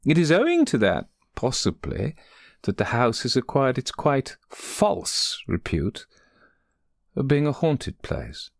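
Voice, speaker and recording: Sly, imperious older lady of a gothic tale, acted out by a man: high metallic tone, precise and cold. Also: wildly swooping pitch, takes it slowly, gravelly, no room sound, clean studio sound, heavily acted. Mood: cold, measured. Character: domineering, sly, cold older spinster employer.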